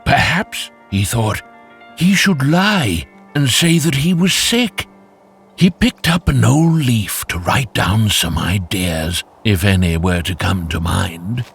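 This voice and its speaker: A man narrating a scripted children's fairytale in an expressive, animated storytelling way. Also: lowish voice, slow, vocal fry, husky, faint music behind.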